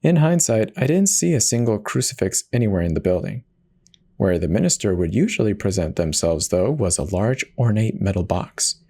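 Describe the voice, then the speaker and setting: A man's voice: on the low side, very animated, moderate pace, mellow, breathy edge, heavily acted. A man voicing a self-justifying, conversational narrator who casually confesses in a first-person dramatic horror reading. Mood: uneasy recounting. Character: confessional devout man turned self-eater, casually rationalizing.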